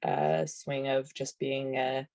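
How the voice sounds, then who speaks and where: A woman's voice: extremely low pitch, level tone, takes it slowly, creaky, darkish tone. A woman in her twenties from Canada, a rugby player on a video call panel, talking casually with lots of fillers and restarts. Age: 20s. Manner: chatty, many fillers and self-corrections.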